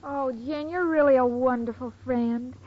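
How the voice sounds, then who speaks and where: Sweet, dim, earnest young American girlfriend: high voice, muffled vintage radio comedy. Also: fairly even intonation, measured pace. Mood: delighted, naive. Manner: earnest, guileless. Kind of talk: 1940s-50s radio comedy acting. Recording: muffled noisy old radio recording, live audience.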